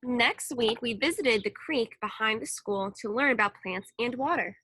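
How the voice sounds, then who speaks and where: A woman reading a children's story aloud in an expressive narrating style. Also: on the high side, moderate pace, breathy edge, rough recording. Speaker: storybook reader.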